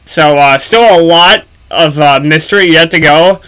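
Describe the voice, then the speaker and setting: A man giving casual, unscripted gaming commentary for a video, speaking haltingly with frequent ums and pauses. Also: fairly high pitch, fairly bright tone, clear, resonant tone, poor audio.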